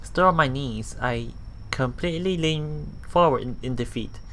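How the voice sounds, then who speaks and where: A man reading a visual novel aloud as a let's play host, halting and self-correcting, with muttered casual asides. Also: medium pitch, a bit bright, slight background noise.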